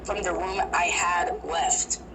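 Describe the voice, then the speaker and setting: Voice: mid-range voice, fairly even intonation, slow. A narrator telling a first-person horror story, hesitant and prone to restarts. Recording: noisy recording.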